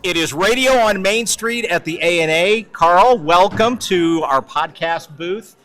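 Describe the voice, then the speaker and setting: Higher-voiced male podcast interviewer. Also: unhurried, a bit bright, faint music behind. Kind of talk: conversational interviewing. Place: podcast booth at a conference.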